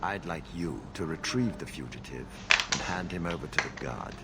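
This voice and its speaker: An actor playing a stern, pompous old magistrate who gives orders in a fantasy video game. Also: male voice, deep voice, expressive, normal speed, creaky, heavy background noise. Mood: imperious. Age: older. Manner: pompous, commanding. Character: haughty old male city magistrate, stern and imperious. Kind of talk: dramatic video game acting.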